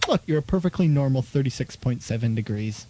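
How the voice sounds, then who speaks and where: A man's voice: mid-range voice, lively intonation, fast, mellow, natural voice, poor audio. A man playing an exasperated, hesitant male nurse in a comedy sketch, fussy and prone to stammering. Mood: fussy, patient.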